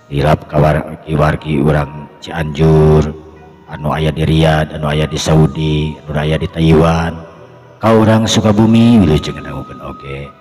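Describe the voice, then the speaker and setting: Very deep-voiced man in long reverb. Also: fairly even intonation, a little slow, a little mellow. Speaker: storyteller and channel host. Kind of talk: traditional storytelling with greetings to listeners. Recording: long echoing reverb, music behind. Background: music.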